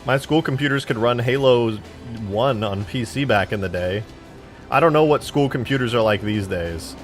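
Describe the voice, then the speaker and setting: A man's voice: medium pitch, moderate pace, faint music behind. A man in his twenties chatting casually on a live stream while modding a game, rambling, joking and swearing freely. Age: 20s.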